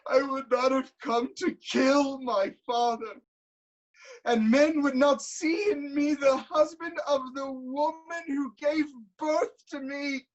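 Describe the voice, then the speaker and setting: A woman's voice: high voice, fairly even intonation, slow pace, highs cut off. A woman playing an anguished, self-loathing blinded king in a declamatory, lamenting style of Greek tragedy. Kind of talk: theatrical Greek tragedy acting.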